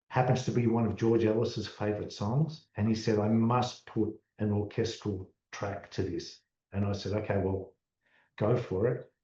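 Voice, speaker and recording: An Australian male musician talking casually in an interview, relaxed and often restarting his words. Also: fairly low pitch, unhurried. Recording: distant, dull, roomy sound.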